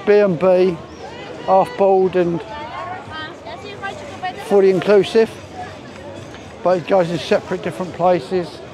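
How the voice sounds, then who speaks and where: A man's voice: high voice, steady tone, takes it slowly, pauses often. A man with a Cockney accent giving chatty walking-tour commentary outdoors, thinking aloud as he goes. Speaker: vlogger. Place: outdoor resort streets. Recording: busy street crowd behind.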